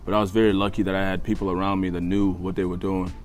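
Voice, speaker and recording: A man's voice: low voice, little pitch movement, quick pace, a little mellow. A man in his twenties who learned English as a second language, telling his story casually as an interview guest, often repeating phrases for emphasis. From East Africa and Australia. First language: not English, learned it after moving to Australia. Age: 20s. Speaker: interview guest, college basketball player. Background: background music.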